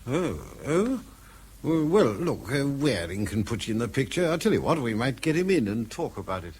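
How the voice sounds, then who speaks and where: A man's voice: medium pitch, sing-song, normal speed, a little mellow, slightly rough. An actor playing a vague, flustered older British professor in a radio drama, dithering and repeating his words. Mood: vague, genial. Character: vague, flustered old male professor in charge.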